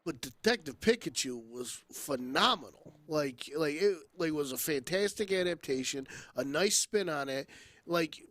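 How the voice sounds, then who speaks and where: A man chatting casually and giving opinions as a podcast panelist, haltingly, with "like" thrown in often. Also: on the high side, animated, fairly bright tone.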